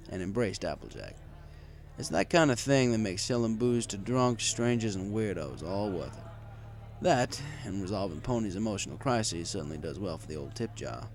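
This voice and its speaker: A man's voice: fairly low pitch, a little mellow. A man reading a first-person story in a wry, conversational way. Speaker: story reader. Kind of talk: first-person story narration with acted dialogue.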